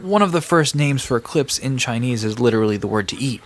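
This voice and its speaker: A man's voice: medium pitch, expressive, quick pace, a little hiss or hum, lightly acted. A man playing a laid-back, bookish park resident in an audio drama, casually explaining myths with a dry manner. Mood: amused, informative. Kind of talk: conversational audio drama storytelling.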